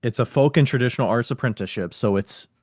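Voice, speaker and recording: A man's voice: medium pitch, fast talker, a little mellow, slightly rough, no echo, clean studio sound. A man hosting a podcast, asking questions in a casual, conversational way. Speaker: podcast host interviewing a guest.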